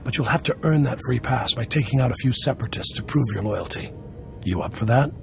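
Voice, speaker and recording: Casting: scheming game fixer, male actor, gravelly mid-range voice, worldly and wry, music underneath. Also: mid-range voice, fairly quick, close to an everyday voice. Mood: grim, businesslike.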